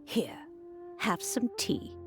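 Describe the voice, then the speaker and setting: A woman voicing a gracious, soothing older lady in a video game, polite and measured. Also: close to an everyday voice. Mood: calm, reassuring. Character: gracious, unhurried older woman hosting tea. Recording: music under the voice.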